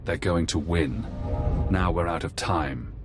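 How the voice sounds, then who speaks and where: An actor playing a cold, urgent mentor in a video game, giving clipped commands. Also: male voice, low-pitched, a little slow, over music. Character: cold, urgent male mentor reprogramming an agent's mind.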